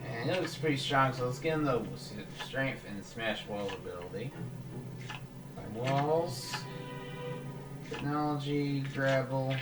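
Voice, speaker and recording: Guy slowly talking thru a character build, halting, kinda far from the mic in an echoey room with game music going. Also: mid-range voice. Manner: thinking aloud, halting, restarts often. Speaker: gaming channel host. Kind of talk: casual let's-play commentary.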